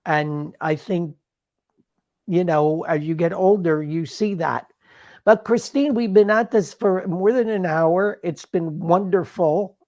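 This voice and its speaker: A man in his sixties from the Philadelphia Main Line, co-hosting a casual live chat in a courtly, playfully flattering manner. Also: high-pitched, takes it slowly. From Philadelphia Main Line, USA. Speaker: co-host.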